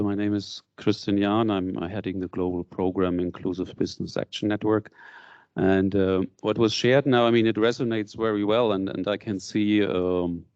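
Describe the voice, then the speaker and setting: Low mellow-voiced guy giving a comment at a conference, repeats himself, muffled mic. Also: dry recording. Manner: repeats words, says "I mean" often. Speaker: program head.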